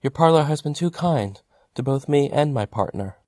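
A man's voice: mid-range voice, lively intonation, moderate pace, mellow, no echo, lightly acted. Man as polite mourner, dry close sound. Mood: composed. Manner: courteous, composed. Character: polite, composed bereaved male funeral client. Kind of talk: audio drama acting.

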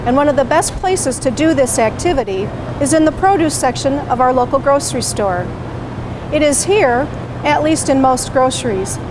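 High-voiced woman teaching in a noisy store. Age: middle-aged. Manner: clear, patient, teacherly. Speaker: parent educator. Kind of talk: instructional presentation to camera. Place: grocery store produce section, indoors.

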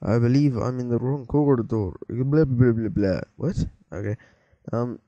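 Teen boy, let's-play commentary, mellow voice, dry close mic, rambling and jumpy. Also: medium pitch, unhurried, no room sound, dull audio. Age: teens. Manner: rambling, easily startled, joking to viewers. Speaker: gameplay commentator.

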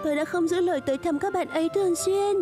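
Casting: an affectionate, polite young cartoon girl, very high girlish voice, gentle delivery over music. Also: fast talker. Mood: worried. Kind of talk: dubbed children's cartoon acting.

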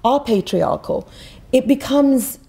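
A woman's voice: high voice, lively intonation, slow, vocal fry, mellow. A middle-aged woman from Egypt giving an emphatic, passionate talk at a podium in an auditorium. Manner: passionate, emphatic, repeats words when restarting. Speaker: guest speaker. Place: auditorium stage. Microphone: podium mic.

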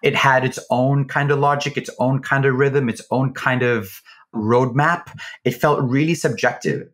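A man's voice: mid-range voice, steady tone, a little slow, a bit bright. Male director interviewee; middle-register voice, enthusiastic spoken commentary.

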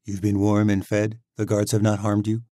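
A man reading aloud voices Alec, a calm and earnest young shaman who speaks steadily. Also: on the low side, moderate pace, dry recording, studio quality, close to an everyday voice.

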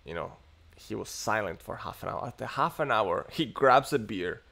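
Chatty man with a gravelly low voice. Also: expressive, normal speed, bright, forceful tone. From Italy. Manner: rambling, chatty, self-interrupting with fillers. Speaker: tech livestream host. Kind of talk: casual livestream chat. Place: home study lined with bookshelves. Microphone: earphone headset mic on a webcam stream.

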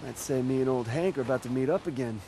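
A man's voice: medium pitch, quick pace, mellow, a little hiss or hum, close to an everyday voice, poor audio. An actor playing a cocky, vengeful young male antihero in a video game. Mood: brooding, determined. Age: young adult.

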